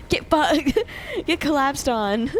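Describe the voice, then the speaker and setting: High-pitched, lively woman streamer reacting. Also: slight background noise. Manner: excitable, giggly, salty-mouthed. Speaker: game streamer. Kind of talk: casual live gameplay reactions.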